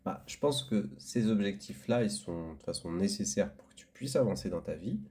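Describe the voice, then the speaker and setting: A man co-hosting a casual podcast, relaxed and agreeable, talking loosely with fillers. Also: on the low side, fairly quick. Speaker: podcast co-host. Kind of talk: casual chat.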